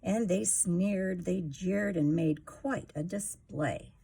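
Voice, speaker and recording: A woman hosting storytime, reading a rhyming children's picture book aloud with expressive, rhythmic delivery. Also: on the low side, takes it slowly, gravelly, breathy edge. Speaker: storytime host, reader.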